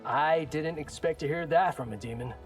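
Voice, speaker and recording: Man as a cocky, higher-voiced young fighter. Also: moderate pace, over music, natural voice. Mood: casual, dry. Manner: casual, wry, quippy. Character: cocky, wry young male human fighter. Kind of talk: video game fight dialogue.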